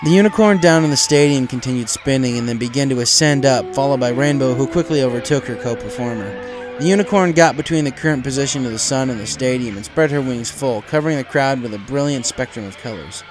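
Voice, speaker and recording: A man's voice: medium pitch, fast, poor audio. Man narrating a story over music. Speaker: fan fiction reader. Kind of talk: dramatic scripted story narration. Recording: music under the voice.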